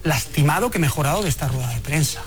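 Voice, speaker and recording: A man giving earnest, measured opinion commentary. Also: on the high side, animated, soft background music.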